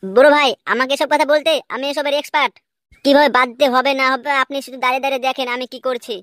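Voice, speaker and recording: Woman as a bossy, fast cartoon gang henchman. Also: high-pitched, fairly even intonation, fairly bright tone, dry recording, studio quality. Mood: cocky. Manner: commanding, cocky, coarse. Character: bossy, foul-mouthed male gang henchman. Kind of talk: amateur comedy cartoon dubbing. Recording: music behind the voice.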